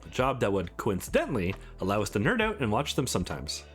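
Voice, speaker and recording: Easygoing young game hero, voiced by a man, animated. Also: fairly low pitch, fast, faint music behind, pitched below the performer's own voice. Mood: encouraging. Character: easygoing, sincere male visual novel protagonist.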